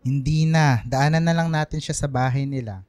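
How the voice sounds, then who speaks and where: A man's voice: mid-range voice, moderate pace, darkish tone, no room sound, close to an everyday voice. Male actor, Filipino radio drama papa, middle-aged, doting, playful, exclamatory, working man, dry studio sound. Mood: coaxing, caring. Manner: teasing, affectionate, exclamatory.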